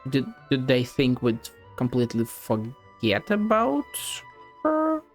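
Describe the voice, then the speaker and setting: A man's voice: medium pitch, wildly swooping pitch, slow pace, faint music behind. A man giving casual, blunt, sweary commentary as he streams a video game. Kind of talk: casual live game commentary, reading dialogue aloud.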